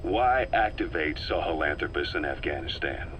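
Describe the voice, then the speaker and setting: A man's voice: rumbling low, expressive, moderate pace, background noise. An actor playing a shrewd, serious military intelligence man in a video game, laying out theories in an analytical, emphatic way with a sardonic edge. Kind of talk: dramatic monologue in a video game.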